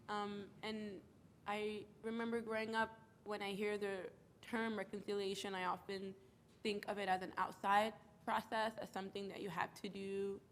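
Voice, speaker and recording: A woman's voice: mid-range voice, slight background noise. A woman from the Bronx, stuffy from a cold, speaking earnestly and reflectively as a panelist. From United States (the Bronx, New York). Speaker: panelist, community organizer. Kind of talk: panel talk.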